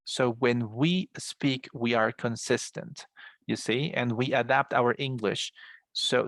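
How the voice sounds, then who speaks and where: A low-voiced man with a Colombian accent teaches an English class in a conversational, explanatory way. His voice has a noticeable creak, and the sound is dry and close. Spanish is his native language. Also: a bit bright, no room sound. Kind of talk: explanatory online class lecture.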